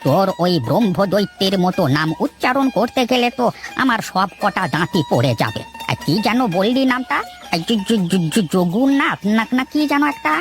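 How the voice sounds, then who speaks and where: An actor voicing an elderly, toothless village father in a cartoon, affectionate and excitable, exclaiming and repeating words in colloquial talk. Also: mid-range voice, normal speed, hardly any pauses. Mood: grumbling, teasing. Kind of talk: Bengali cartoon story dialogue. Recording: loud music under the voice. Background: music.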